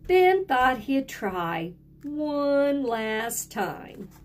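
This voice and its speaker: Lady teacher reading a storybook to little kids, really slow and simple, bit high pitched, asks them to join in. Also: big pitch swings, slight background noise. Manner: simple, deliberate phrasing, prompting children to repeat words. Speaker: teacher reading a picture book aloud to children. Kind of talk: animated children's read-aloud with questions to young listeners.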